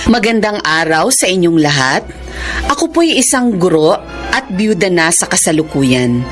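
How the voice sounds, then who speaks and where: An actress playing a sorrowful, steadfast widowed teacher narrating her life in a radio drama, with a Filipino accent. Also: lowish voice. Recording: music bed behind.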